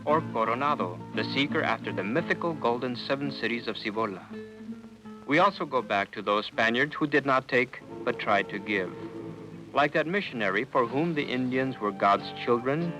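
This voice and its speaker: A man giving measured, solemn scripted narration for a documentary. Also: mid-range voice, expressive, poor audio. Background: guitar music.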